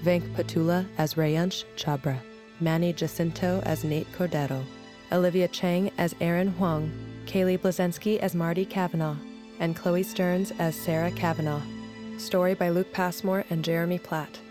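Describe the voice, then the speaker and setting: A woman announcer reading scripted credits in a measured, list-like way. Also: on the low side, steady tone. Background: music.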